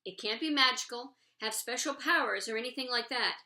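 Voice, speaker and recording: Woman playing an upbeat male schoolteacher, bright voice. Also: fairly high pitch, a little fast, roomy sound, voice pushed up. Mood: instructive. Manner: emphatic, encouraging. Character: encouraging, warm male schoolteacher leading class.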